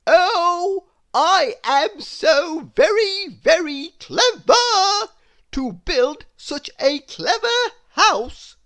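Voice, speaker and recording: A woman voicing a boastful, self-satisfied man from a children's storybook, bragging in a drawn-out, emphatic way. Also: very high voice, sing-song, fairly bright tone, voice pushed up, heavily acted. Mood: smug, boastful.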